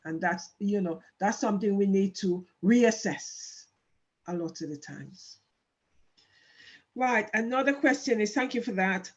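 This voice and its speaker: A woman interviewer who affirms her guest and then offers her own measured views before asking her next question. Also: medium pitch, lively intonation, dull audio. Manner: measured, affirming, frequent "mm" backchannels.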